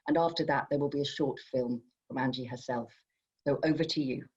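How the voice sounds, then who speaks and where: A woman's voice: rumbling low, steady tone, fairly quick, darkish tone. A woman hosting an online literary event, introducing it live in an enthusiastic, encouraging manner over a video call. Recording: dull, lo-fi video call audio.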